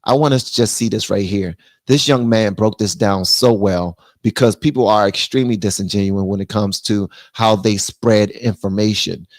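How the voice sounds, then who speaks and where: A man's voice: lowish voice, normal speed, few pauses, no room sound. Male stream host, blunt, slangy, dry close sound. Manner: animated, drops into mock voices. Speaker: livestream host. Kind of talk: political commentary chat.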